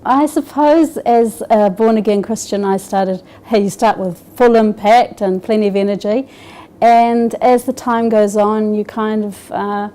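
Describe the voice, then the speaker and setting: Soft-toned New Zealand woman, hesitant. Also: mid-range voice, fairly even intonation, measured pace. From Scotland, raised in New Zealand. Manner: hesitant, many fillers and restarts. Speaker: interviewee. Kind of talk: personal testimony.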